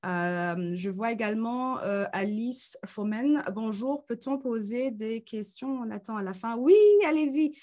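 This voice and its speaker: A woman's voice: a little high, measured pace, hardly any pauses, a little mellow. A woman in her thirties moderating an online conference discussion, hesitating often with fillers, speaking into a webcam on a video call. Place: indoors in front of a green screen.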